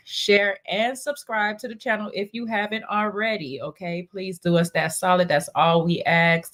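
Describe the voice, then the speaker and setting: A woman with a stuffy nose from allergies hosts a casual livestream chat, talking in slangy, chatty bursts and laughing often. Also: on the low side. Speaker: host of a reality-show recap livestream.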